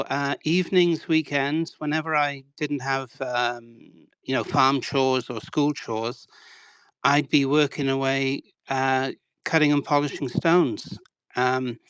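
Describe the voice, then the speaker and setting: A man in his sixties from Wales speaks as an interview guest, telling his story in a loose, self-deprecating way with plenty of "sort of" and "um". His voice is smooth and resonant, recorded dry and close with no room sound. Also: medium pitch, fairly bright tone, dry recording. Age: 60s. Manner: rambling, self-deprecating, many hedging fillers.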